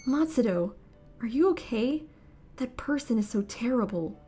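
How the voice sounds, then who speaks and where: A woman's voice: a little high, animated, normal speed, dark tone, husky, faint music behind. A woman voicing a cute, teasing, caring teenage girl team manager in a manga dub. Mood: concerned.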